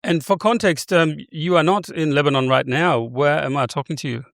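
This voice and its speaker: A man who hosts a podcast, presenting a scripted introduction and then interviewing a guest in a clear, articulate way. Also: on the high side, fairly quick, a bit bright, no echo, clean studio sound. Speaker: podcast host.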